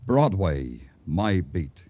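An actor playing a terse, grim New York police detective in an old radio crime drama, with a clipped, world-weary delivery. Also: male voice, deep voice, sing-song, very slow, no room sound, lo-fi sound, exaggerated voice. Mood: brooding, world-weary. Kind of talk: 1940s-50s radio crime drama acting. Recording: old radio broadcast, music underneath.

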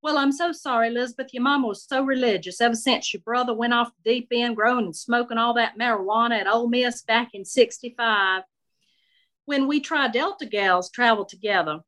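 A woman's voice: on the high side, fairly bright tone, pitched above the performer's own voice, close to an everyday voice, low-quality recording. A woman storyteller voicing a chatty, nosy seventy-seven-year-old lady who calls everyone darling. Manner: gushing, outspoken, calls everyone darling and honey.

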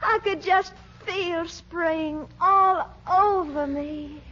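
High-voiced, gushing coquette. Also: female voice, extremely slow, sharp tone, full character voice. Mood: dreamy, inviting. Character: flirtatious, gushing, sweet-talking lady. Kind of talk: 1940s radio comedy acting. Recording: dull, noisy old radio recording.

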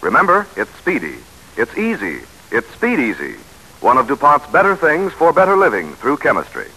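A man's voice: medium pitch, wildly swooping pitch, moderate pace, bright, forceful tone, breathy edge, poor audio. A man delivering a polished 1940s radio advertisement as the sponsor's spokesman. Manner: polished, salesmanlike.

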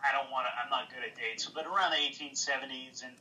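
A man's voice: mid-range voice, steady tone, fast, sharp tone, far from the mic, low-quality recording. A man in a casual livestream conversation, rambling and swearing, with lots of "like" fillers. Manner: rambling, heavy on "like" fillers, profane. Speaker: livestream guest.

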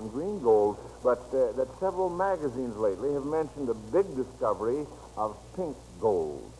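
A man's voice: medium pitch, darkish tone. An American man hosting an old-time radio quiz show, encouraging and praising the contestants as he reads out questions. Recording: noisy old radio recording.

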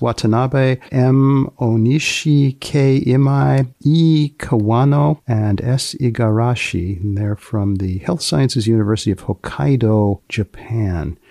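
Man, podcast host, medium pitch, fry in the voice, dry sound, deliberate questions. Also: dark tone, dry recording. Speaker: podcast host and magazine editor. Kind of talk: hosting a science humour podcast, reading and asking questions.

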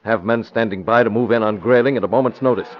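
A man's voice: on the low side, fast talker, natural voice. A man playing a resolute, commanding American masked crime fighter in a classic radio drama, speaking tersely. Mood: urgent, resolute. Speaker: lead actor in a radio drama. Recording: muffled old radio, faint background noise.

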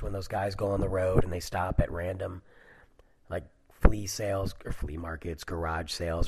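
A man's voice: sits low, a little mellow, slightly rough, poor audio. A man in his forties, stuffy from a cold, chatting casually on his own and rambling through stories with lots of fillers. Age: 40s. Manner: rambling, digressive, many fillers. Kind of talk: casual solo chat.

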